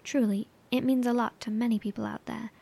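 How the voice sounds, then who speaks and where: An actress playing a compassionate, sombre woman broadcaster in a horror audio drama, speaking softly and breathily, with gentle hesitation. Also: on the high side, steady tone, brisk, creaky, breathy edge.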